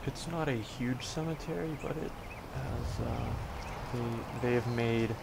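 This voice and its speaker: A man with a congested voice giving a dry, sarcastic narrated tour of a run-down cemetery, often halting and correcting himself. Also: mid-range voice, creaky, heavy background noise. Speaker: video host.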